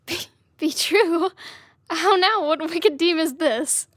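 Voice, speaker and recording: Young woman acting passionate young Trojan woman, extremely high pitch, dramatic verse, grieving, dry audio-drama recording. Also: takes it slowly, a bit bright, no echo, full character voice. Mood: hurt, mournful. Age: young adult. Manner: impassioned, dramatic verse delivery.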